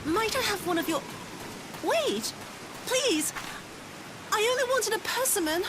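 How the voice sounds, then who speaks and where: An actress playing a bewildered, frightened, meek woman in a game cutscene, halting and pleading. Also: very high voice, animated, noisy background. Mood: timid pleading. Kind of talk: video game cutscene acting.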